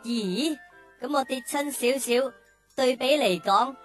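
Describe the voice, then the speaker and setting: A woman's voice: sits high, slow pace, faint music behind. A woman voicing a cheeky, whiny young male cow in a children's radio drama, with exaggerated delivery. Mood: cheeky, eager.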